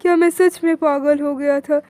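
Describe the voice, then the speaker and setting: High-pitched woman narrating a story. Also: fairly even intonation, normal speed, mellow, no echo. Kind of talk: scripted first-person cartoon story narration, as a young man.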